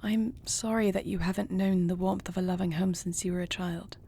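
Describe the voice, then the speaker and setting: Woman playing a breathy, gentle, poised queen. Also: fairly low pitch, fairly even intonation, a little fast. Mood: tender, reassuring. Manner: formal, courtly phrasing, soft and affectionate. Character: gentle, poised dragon queen, newlywed, tender and dryly witty. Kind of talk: intimate audio roleplay acting, addressed to the listener.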